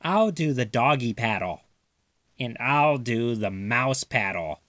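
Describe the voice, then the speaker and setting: A man voicing excited little mouse children in a storybook, with eager, exclaiming calls. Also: no room sound, clean studio sound. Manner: high, exclaiming, drawn-out calls. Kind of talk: storybook read-aloud character voice.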